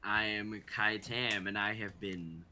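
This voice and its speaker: A man's voice: fairly low pitch, fairly even intonation, takes it slowly, background noise. A man in his twenties chatting casually with a group of fellow performers. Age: 20s. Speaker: member of a reading group.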